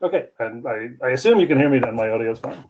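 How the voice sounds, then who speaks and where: A man giving a conference talk over a remote call, halting often with fillers and restarts. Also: mid-range voice, animated, muffled recording, crowd noise. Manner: hesitant, many fillers and restarts. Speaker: conference speaker.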